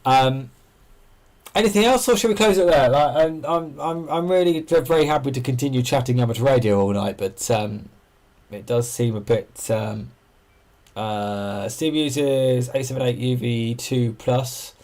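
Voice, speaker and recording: A man's voice: medium pitch, unhurried, darkish tone. A man hosting an amateur radio livestream, chatting casually and thinking aloud as he explains things and answers viewers.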